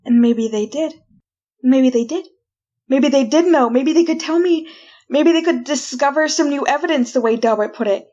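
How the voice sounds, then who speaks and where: An actress playing a desperate, haunted woman prisoner condemned though innocent, telling her tale in theatrical, deliberate retro radio drama style. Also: high voice, a little mellow, highs cut off, natural voice. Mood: frantic hope.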